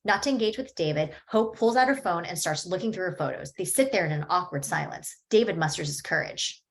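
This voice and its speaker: A woman reading stage directions at a table read in a plain, even way. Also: on the low side, a little fast, vocal fry.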